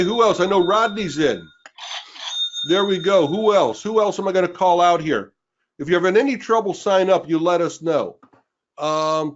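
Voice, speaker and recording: Hoarse man hyping a sales webinar. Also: high voice. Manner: pushy, rallying, calls out names. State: losing his voice. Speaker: webinar co-host. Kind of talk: energetic sales pitch. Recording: dull lo-fi webinar audio.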